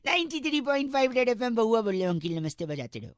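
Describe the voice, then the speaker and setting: A man's voice: very high voice, very animated, fast, a little hiss or hum. A man voicing a cheeky, pestering young prankster, teasing people on a radio prank call with mock politeness. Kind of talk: comic prank call banter with riddles.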